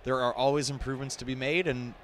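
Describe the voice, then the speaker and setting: A man's voice: mid-range voice, level tone, quick pace. A man chatting casually and self-deprecatingly as a voice actor reacting to his own video game scenes. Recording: noisy, game audio underneath. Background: video game cutscene audio.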